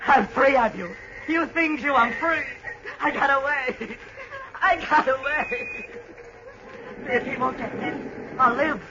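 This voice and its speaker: A man's voice: very high-pitched, takes it slowly, long pauses. An actor playing a terrified man fleeing death in a 1940s radio drama, babbling frantically. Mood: frantic. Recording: muffled 1940s radio broadcast, hiss.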